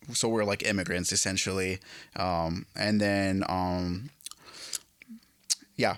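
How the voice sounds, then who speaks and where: Halting man from Egypt, low voice. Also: a bit bright, no room sound. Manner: halting, many fillers and restarts. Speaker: guest guitarist. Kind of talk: casual interview.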